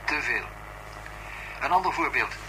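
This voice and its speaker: A man acting a sober, methodical police inspector with a formal, measured manner in a Dutch radio drama. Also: mid-range voice, animated, sharp tone. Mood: skeptical, firm. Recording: noisy radio play recording.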